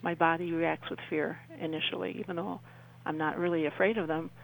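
An older woman, around her sixties, talking as an interview guest in a halting, rambling way and often rephrasing mid-sentence. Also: sits low, fairly even intonation, creaky, slight background noise, low-quality recording. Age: older, about 60s. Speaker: interview guest, UFO experiencer. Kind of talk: conversational radio interview storytelling.

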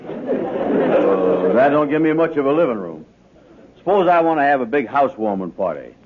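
A man playing a slow, gullible, doubtful dupe in a 1950s radio sitcom, speaking hesitantly in a folksy dialect. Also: medium pitch, sing-song, husky, a faint crowd. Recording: narrow, noisy vintage radio recording with clicks.

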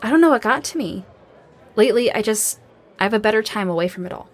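A woman playing a guarded, grieving young survivor in a naturalistic audio drama, earnest, self-deprecating and halting in her delivery. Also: medium pitch, lively intonation, a faint crowd, natural voice. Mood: shy, awkward. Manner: hesitant, halting.

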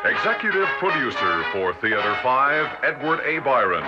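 Over loud music on a muffled old recording, a man announces in 1960s radio style. He is formal and measured, with a lively, swinging intonation. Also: medium pitch.

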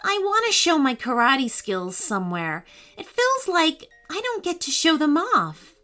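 A woman doing a little karate girl, high and lilting, slow storybook read. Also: wildly swooping pitch, bright, forceful tone, faint music behind, exaggerated voice. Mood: sad. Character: sad young girl karate student.

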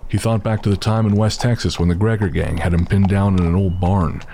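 A man narrating a western horror story in a measured, steady way. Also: deep voice, slight background noise.

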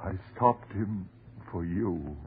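An anguished husband, old noisy radio. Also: male voice, deep voice, lively intonation, slow, narrow, tinny audio. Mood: feverish, devoted. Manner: melodramatic, pleading, impassioned. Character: distraught, desperate husband devoted to his cursed wife. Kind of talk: 1930s radio horror acting. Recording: noisy, band-limited 1930s radio recording.

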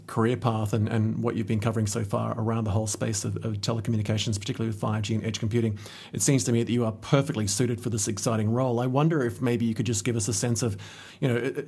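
A man's voice: mid-range voice, a little mellow, husky. A man hosting an interview podcast, chatty and enthusiastic, with plenty of fillers as he introduces and questions his guest. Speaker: podcast host. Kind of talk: casual interview chat.

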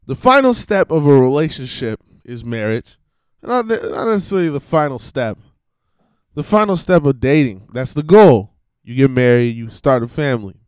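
Emphatic, slightly hoarse man ranting. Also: medium pitch, big pitch swings, moderate pace, no room sound. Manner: emphatic, preachy, swearing, repeats himself. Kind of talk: casual monologue rant.

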